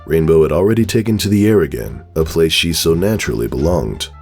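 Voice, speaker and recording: A man's voice: rumbling low, animated, a little fast, a little mellow, faint music behind. A man narrating a story as a scripted dramatic reading.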